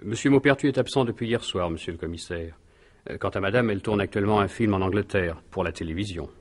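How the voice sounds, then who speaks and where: An actor playing a loyal older manservant in a French radio drama, formal, deferential and hesitant. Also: male voice, on the low side, fast talker, close to an everyday voice. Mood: formal, reserved. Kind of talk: 1950s-style French radio detective drama acting.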